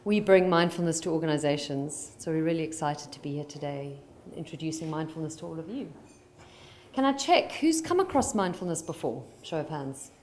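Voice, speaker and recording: A South African psychologist speaking to a conference audience and calmly guiding them through mindfulness exercises. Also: female voice, low-pitched, a little hiss or hum. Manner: calm and guiding, slowing for instructions. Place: large conference hall. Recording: roomy hall with faint ambience.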